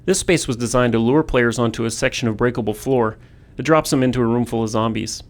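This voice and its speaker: A man narrates prepared developer commentary. He has a voice of average pitch and speaks as one explaining game design. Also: fast talker.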